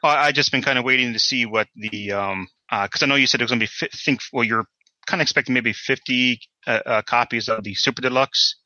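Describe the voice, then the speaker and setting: Very bright-voiced man interviewing, dull recording. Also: medium pitch, fast, no echo. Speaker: podcast host interviewing. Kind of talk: casual interview chat. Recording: dull, dry lo-fi microphone sound.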